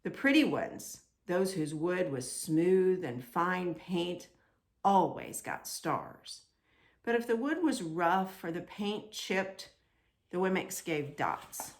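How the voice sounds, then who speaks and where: Woman reading a children's story, slightly creaky. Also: lowish voice, animated, slow. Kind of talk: expressive read-aloud storytelling for children.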